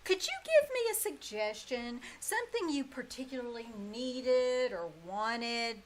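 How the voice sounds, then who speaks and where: A woman's voice: fairly high pitch, big pitch swings, measured pace, slight background noise. A woman playing a wry, sarcastic schoolteacher short of money, with dry, exasperated wisecracks in 1950s radio comedy style. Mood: dry sarcasm. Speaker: stage actress in a radio troupe. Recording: live theater stage, some ambient sound.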